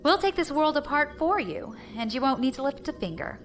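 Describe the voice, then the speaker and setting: A woman's voice: high voice, big pitch swings, brisk, soft background music. A woman playing a defiant, commanding rebel fleet leader in an audio drama. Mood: shrewd. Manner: commanding, composed.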